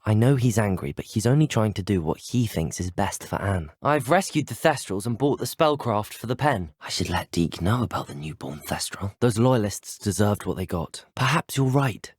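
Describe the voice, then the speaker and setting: Lively, polite young British wizard student. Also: male voice, fairly low pitch, moderate pace, hardly any pauses, breathy edge, dry recording, clean studio sound. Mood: earnest, concerned. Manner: courteous, well-spoken, formal. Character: polite, earnest, curious teenage boy wizard student. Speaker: player character voice actor. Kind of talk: scripted video game voice lines.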